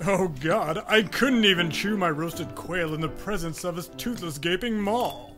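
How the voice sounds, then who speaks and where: A man performs a prim, fawning executor in a comic radio drama. The voice is high and animated. His manner is fussy and formal. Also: a little slow, over music. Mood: disgusted.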